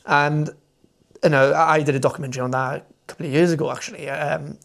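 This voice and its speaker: A young Welsh man talks in an eager, rambling way, doubling back and stacking up fillers as he goes. His voice sits a little high for a man and carries a gravelly, fry-laden texture. He sounds like an interview guest sharing things he cares about. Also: fairly even intonation, fast, a bit bright. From Wales. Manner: rambling and enthusiastic, many fillers and restarts. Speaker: podcast guest, motoring content creator. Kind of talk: casual interview chat.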